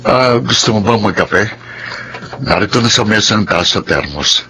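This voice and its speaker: A middle-aged Filipino man with a deep, creaky voice. He is wary and weighed down, polite but hesitant, in a radio drama with a little noise behind. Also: animated, moderate pace, pushed and bright. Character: burdened, wary older stepfather figure, polite and flustered.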